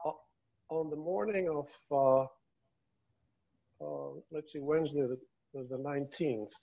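A man in his fifties giving a factual update as a superintendent, in a halting, hesitant way over a video call. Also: a little high, gravelly, darkish tone. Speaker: observatory superintendent. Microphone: earphone mic on a video call. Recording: slightly dull video-call audio.

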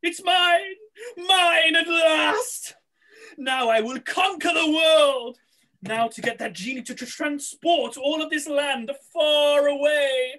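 A woman's voice: sits high, slow pace, fairly bright tone. A woman playing a scheming male pantomime villain posing as a street seller, hammy and gloating. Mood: gloating triumph. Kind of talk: rough pantomime read-through. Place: small room at home, video call.

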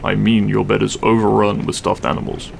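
A man playing a grumpy but tender half-dragon roommate in an intimate ASMR roleplay, gruff, sleepy and halting in a soft voice. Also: on the low side, little pitch movement, slight background noise. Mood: grumpy, reluctant. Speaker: roleplay voice actor. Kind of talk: intimate ASMR audio roleplay, comfort scene.

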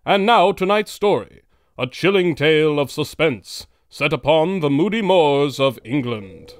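Grand, animated male radio-serial announcer. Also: fairly high pitch, a little slow, dry recording, studio quality. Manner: grand, dramatic. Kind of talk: old-time radio serial announcing, scripted.

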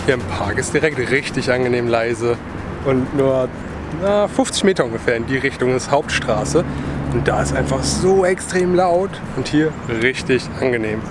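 A man's voice: medium pitch, moderate pace. A man in his thirties chatting and joking in a casual travel vlog, filming himself at arm's length outdoors. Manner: chatty, joking, addresses viewers directly. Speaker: travel vlogger. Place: outdoors in a city park and on a pedal boat. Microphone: handheld camera at arm's length. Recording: loud outdoor city noise.

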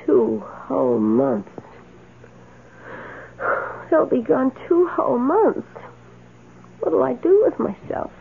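A meek, lonely maid from an old radio play, timid and full of longing. She speaks slowly, with halting pauses. The sound is thin and tinny with faint hiss. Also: high voice, huge pitch swings, vocal fry, heavily acted. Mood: wistful, lonely. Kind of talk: old radio drama acting.

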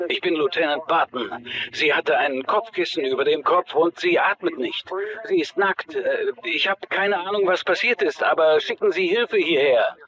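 An actor playing a police lieutenant making an emergency call, through a phone-like filter. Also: male voice, on the high side, normal speed, a bit bright. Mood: distressed. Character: police lieutenant calling emergency line about murdered wife.

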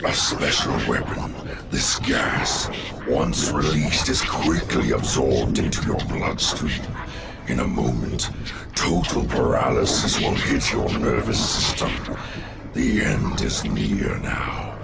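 An actor playing an ancient, evil alien overlord in a video game, gravelly and booming, grandiose, sinister and full of contempt. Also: male voice, animated, slow pace, exaggerated voice. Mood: smug menace. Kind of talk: theatrical video game villain acting. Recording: loud music behind.

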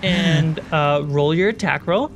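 A man's voice: a little high, measured pace, a little hiss or hum. A man narrating a tabletop fantasy game as game master, describing scenes and prompting the players.

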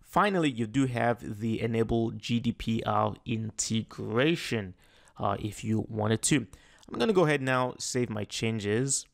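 A man talking through a software tutorial in a casual, explanatory way, with fillers and restarts as he clicks through settings. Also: fairly low pitch, unhurried, vocal fry. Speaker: tutorial host. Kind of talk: screen-recorded software tutorial. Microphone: voice-over on a screen recording.

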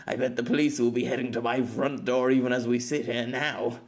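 A man's voice: mid-range voice, fairly even intonation, normal speed, close to an everyday voice. Man acting chatty, eager young man in love. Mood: wry.

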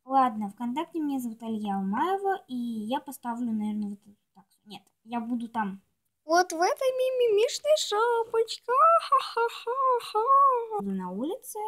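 A woman's voice: a little high, a bit bright. A woman video blogger chatting casually and ramblingly to herself and her viewers while showing things, chewing gum as she talks. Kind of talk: casual chatty nail polish show-and-tell.

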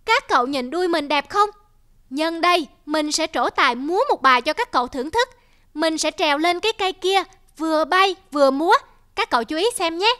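A woman voicing a vain, eager young squirrel in a children's cartoon, exclaiming in a boastful, childlike way. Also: very high voice. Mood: boastful, delighted.

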